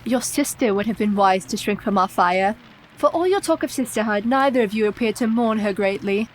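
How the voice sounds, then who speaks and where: A woman's voice: mid-range voice, a little fast, a little hiss or hum, lightly acted, low-quality recording. An actress playing a brave young woman in a gothic radio play, speaking in a formal, resolute, dramatic manner. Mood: defiant. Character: brave, defiant young Englishwoman fighting vampires.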